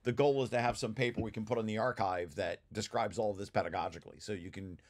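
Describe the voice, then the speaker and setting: A man's voice: fairly low pitch, fairly even intonation, fast talker. A man giving an informal technical explanation as a presenter, often restarting and repeating his phrases. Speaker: presenter of a research paper, study group leader. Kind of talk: informal technical explanation over shared slides.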